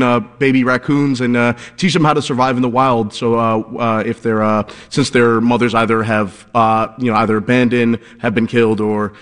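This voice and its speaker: A man fills in on a radio show and tells a story haltingly, with many fillers and restarts. His voice sits at a middle pitch, and it rings with a long reverb. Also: a bit bright. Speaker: fill-in radio co-host.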